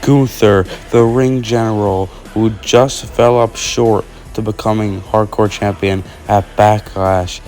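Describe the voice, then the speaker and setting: A man with a fairly low voice calls a wrestling show. He speaks slowly and draws out his announcing phrases, with guitar music clearly playing behind him. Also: steady tone, darkish tone. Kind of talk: pro wrestling commentary and introductions.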